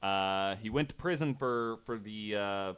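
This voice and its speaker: A man telling a true story on a podcast in a casual, halting, conversational way, working from notes. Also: lowish voice, fairly bright tone, smooth.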